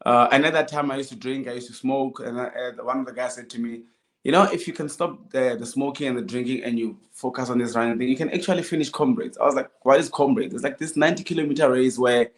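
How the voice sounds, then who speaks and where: South African man in his thirties, mid-pitched. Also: steady tone, fast talker. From Limpopo, South Africa. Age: 30s. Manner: recounts in quoted dialogue, short sentences. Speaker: podcast guest, amateur runner. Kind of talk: casual personal storytelling.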